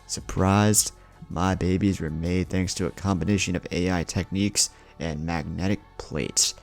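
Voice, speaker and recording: Man acting a crazed, menacing low-voiced killer. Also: fairly even intonation, moderate pace, creaky, soft background music. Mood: menacing glee. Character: crazed sadistic male knife-wielding killer, gleeful.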